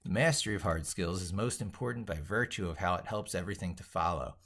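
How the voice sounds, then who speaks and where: A man with a fairly low voice talks through an opinionated tech monologue as an educator. He states things emphatically, then repeats and reworks the wording as if composing it out loud. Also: fast talker. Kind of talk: drafting and reading aloud an opinionated monologue while typing.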